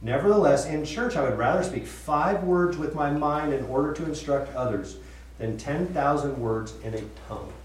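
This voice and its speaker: A man teaching a casual Bible study to a group, chatty and quick to laugh, often repeating and restarting his words. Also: fairly high pitch, a little hiss or hum. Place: room with group listening. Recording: echoey room, voice from far off.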